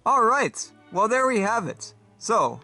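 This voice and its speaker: A man puts on a peppy TV debate moderator for a comedy sketch. The voice rides high and bright, pushed forward with bombastic showmanship. Faint music plays behind it. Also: very animated, takes it slowly, full character voice. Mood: upbeat, showy. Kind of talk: game-show style hosting.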